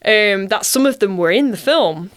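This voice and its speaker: A woman, an actress being interviewed, chatting casually in an informal, expressive and giggly manner. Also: mid-range voice, moderate pace.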